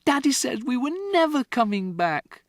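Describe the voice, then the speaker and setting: A storybook narrator voicing a distraught young schoolgirl who pleads in a high, breathless voice. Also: big pitch swings, normal speed, dry recording, studio quality.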